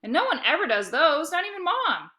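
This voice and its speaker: Woman reading as an eager young boy, high-pitched. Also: big pitch swings, a little fast, sharp tone, full character voice. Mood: eager, defensive. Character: eager, scheming young boy sweet-talking his dad.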